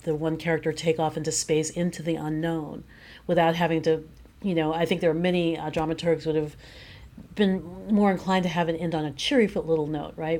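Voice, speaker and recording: A woman's voice: low voice, warm tone. A woman speaking as an interview guest about their creative work, reflective and earnest, developing long thoughts. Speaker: teacher.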